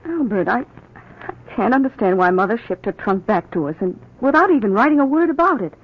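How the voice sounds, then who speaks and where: A woman's voice: on the high side, expressive, brisk. A woman playing a worried young wife who frets about her absent mother, in 1940s radio acting style on a hissy old radio recording. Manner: bright, fretful, insistent. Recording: muffled old radio recording with faint hiss.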